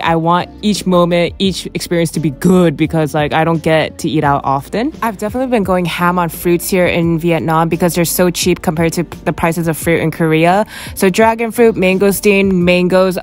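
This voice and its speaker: A woman's voice: fairly low pitch, moderate pace, few pauses, faint music behind. A woman in her twenties narrating a casual travel vlog in a chatty, upbeat storytelling way. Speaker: vlogger.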